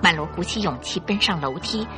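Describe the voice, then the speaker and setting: A woman narrating a radio drama in an expressive, suspenseful storytelling style. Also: fairly low pitch, fairly quick, soft background music.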